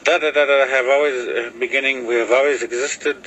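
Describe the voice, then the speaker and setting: A man whose first language is Lakota, talking casually to a video camera in halting English, often pausing with fillers as he searches for words. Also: medium pitch, steady tone, fairly bright tone, highs cut off, slight background noise. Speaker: vlogger. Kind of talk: casual rambling talk to camera. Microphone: video camera mic.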